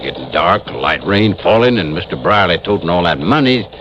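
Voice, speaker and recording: Casting: rustic Southern police chief, colloquial and sure of himself, lowish voice, muffled old radio drama sound. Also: male voice, animated, normal speed, background noise. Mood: earnest recounting. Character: folksy, self-assured small-town male police chief. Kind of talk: 1950s radio drama acting. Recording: dull old radio recording.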